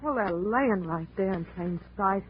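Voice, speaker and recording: An actress playing a timid, innocent-sounding young niece in old-time radio melodrama, with a plaintive, naive manner. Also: female voice, mid-range voice, expressive, measured pace, close to an everyday voice. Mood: timid, bewildered. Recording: muffled, noisy vintage radio broadcast.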